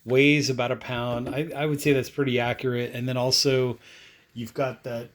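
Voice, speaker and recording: A man's voice: mid-range voice, level tone, moderate pace, a bit bright. A man hosting an informal product review, rambling casually and talking through what his hands are doing. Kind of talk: casual unscripted product demonstration.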